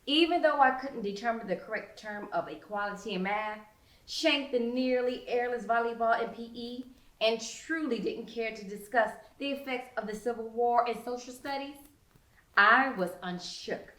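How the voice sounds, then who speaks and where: A woman with an American accent, an author reading her novel as expressive first-person narration, with dramatic, emphatic phrasing. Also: on the high side, moderate pace. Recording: roomy indoor echo.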